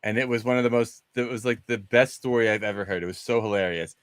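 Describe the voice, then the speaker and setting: A man's voice: lowish voice, fairly even intonation, quick pace, slight background noise. A man talking casually and enthusiastically as a rambling panelist on a movie discussion show.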